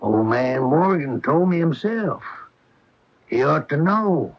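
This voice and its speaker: An actor playing a curious, easygoing American gold prospector with a folksy, questioning manner in a radio drama. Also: male voice, fairly high pitch, big pitch swings, slow, exaggerated voice. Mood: curious, insistent. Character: easygoing small-town amateur gold prospector, curious and hopeful. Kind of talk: 1980 radio drama acting. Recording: dull lo-fi 1980 radio broadcast.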